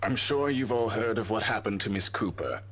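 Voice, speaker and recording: A man playing a stern office boss in a visual novel game, speaking formally with measured authority. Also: fairly low pitch, fairly bright tone, lightly acted. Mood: solemn, formal.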